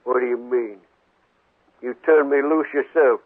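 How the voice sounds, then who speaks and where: A man's voice: medium pitch, steady tone, unhurried, natural voice. An American man playing a cocky, hard-drinking hired gunman in a 1950s radio western, brash and suspicious in his talk. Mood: uneasy, suspicious. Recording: tinny, narrow 1950s radio broadcast.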